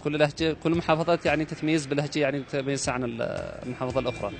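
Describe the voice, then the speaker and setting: A man from Amran with a Yemeni accent answering street questions in a relaxed, hesitant way. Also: a little high, rapid-fire, slight background noise. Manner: relaxed, hesitant with many fillers. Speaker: passerby interviewee.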